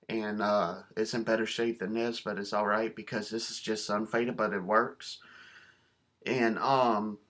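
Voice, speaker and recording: Bright-voiced man, casual vlogger. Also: mid-range voice. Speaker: video host showing a cassette collection. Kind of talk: casual show-and-tell vlog.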